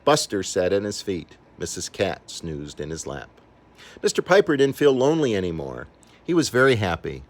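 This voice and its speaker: An older man reading a children's story aloud in an expressive, animated way, acting out the characters. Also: low voice, moderate pace. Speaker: storybook reader.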